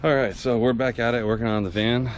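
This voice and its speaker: A man's voice: mid-range voice, fast talker. A man casually chatting and narrating a van build for his vlog. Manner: relaxed, chatty, mutters while working. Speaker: vlog host. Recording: noisy background.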